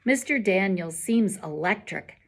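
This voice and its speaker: A woman teacher reading a children's novel aloud in an expressive storytelling style. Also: mid-range voice, unhurried.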